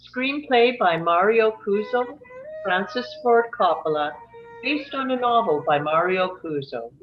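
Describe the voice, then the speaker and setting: A woman's voice: medium pitch, expressive, a little slow, music in the background. A woman narrator reads out screenplay scene headings and directions. The delivery is scripted narration, and the sound is a little dull and lo-fi. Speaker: narrator reading stage directions.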